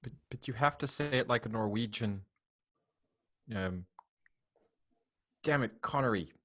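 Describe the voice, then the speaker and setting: A man's voice: fairly low pitch, a little slow, pushed and bright, breathy edge. A man co-hosting a podcast with casual, joking banter and interjections. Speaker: podcast co-host. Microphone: headset or headphones setup.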